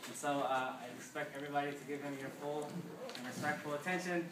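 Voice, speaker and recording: A man's voice: fairly high pitch, fairly even intonation, fast. A man speaking as a teacher, casually introducing a presenter to a class. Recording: very noisy, echoey room, distant mic.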